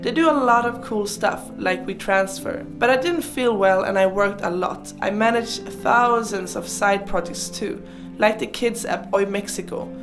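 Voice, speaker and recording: Girl in her 20s, german speaker, chatty casual life story voiceover, playful, music clearly playing behind. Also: mid-range voice, moderate pace. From Sweden. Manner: chatty, informal, playful asides. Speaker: video creator narrating her life. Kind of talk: casual personal storytelling voice-over.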